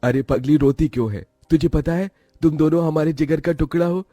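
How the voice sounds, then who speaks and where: Man doing devoted poor brother, cartoon, earnest, higher voice, dry sound, soft music behind. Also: brisk, no echo, lightly acted. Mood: tender. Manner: earnest, emotional. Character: two poor devoted older brothers, selfless. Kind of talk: cartoon voice acting.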